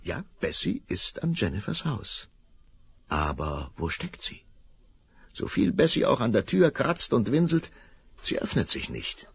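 A man's voice: fairly low pitch, wildly swooping pitch, a little slow, creaky, breathy edge. A man narrating a children's radio play, telling the story vividly and dramatically.

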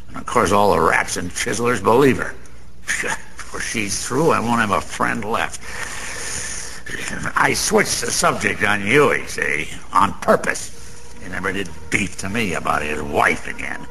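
A gravelly, slangy American gambler. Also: male voice, fairly low pitch, animated, a little slow. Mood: scornful, wisecracking. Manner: slangy, wisecracking, rambling. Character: garrulous small-time New York gambler, slangy, bragging, lonely. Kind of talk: theatrical radio-play monologue. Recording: long room reverb, faint noise.

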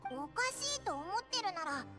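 Tiny eager game fairy: childlike, very high, swooping delivery, cute boyish phrasing. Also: female voice, very animated, normal speed. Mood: uneasy. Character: small childlike floating fairy companion, eager and boyish-spoken. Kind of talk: Japanese game voice acting. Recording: game audio under loud music.

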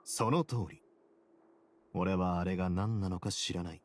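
A man playing a composed, shrewd prison duke in an anime game, explaining things in a calm, measured, confident way. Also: deep voice, a little hiss or hum.

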